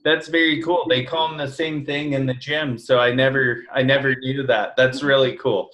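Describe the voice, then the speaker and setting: A man's voice: mid-range voice, fairly even intonation, normal speed, fairly bright tone. An American man chatting casually as a podcast co-host. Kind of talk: casual chat.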